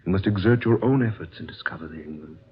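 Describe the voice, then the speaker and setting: An actor playing a wise old mystical sage in a vintage radio drama, speaking gravely in formal, oracular phrases. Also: male voice, deep voice, a little fast, close to an everyday voice. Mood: solemn, patient. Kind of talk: 1940s radio drama acting. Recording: noisy, dull vintage radio recording.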